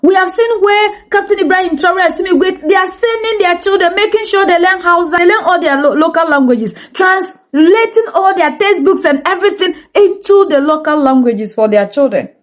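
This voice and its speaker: A woman's voice: very high voice, fairly quick, hardly any pauses. A woman with a Ghanaian English accent giving impassioned commentary, asking rhetorical questions in an indignant tone.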